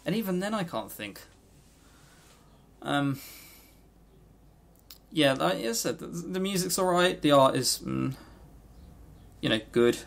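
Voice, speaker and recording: A man's voice: on the high side, animated, takes it slowly, creaky, long pauses, a bit bright. A man co-hosting a podcast, talking casually and informally, with opinionated but hesitant delivery. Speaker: podcast co-host. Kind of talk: casual game review chat.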